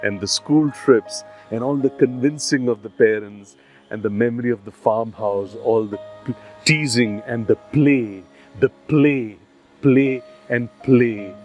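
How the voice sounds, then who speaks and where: A man with an Indian accent hosting a series, speaking to his audience in a deliberate, emphatic storyteller's delivery. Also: mid-range voice, muffled recording. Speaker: series host and presenter. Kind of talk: scripted presentation to an audience. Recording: soft music behind. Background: soft plucked guitar music.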